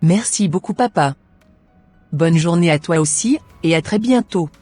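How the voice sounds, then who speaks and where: A woman's voice: lowish voice, expressive, measured pace, mellow, dry recording. Woman playing an obedient cartoon daughter, dry sound. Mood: obedient, grateful. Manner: polite, scripted phrasing. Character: obedient, devout, polite teenage daughter. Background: faint music.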